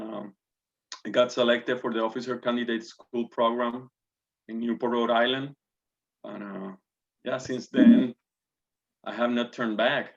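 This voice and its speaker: Man, forties, Puerto Rican Spanish accent, earnest guest, lots of fillers, dull lo-fi sound. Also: mid-range voice. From Puerto Rico. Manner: earnest, polite, many fillers and restarts. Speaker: guest, navy officer. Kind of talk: interview answers. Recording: dull lo-fi audio.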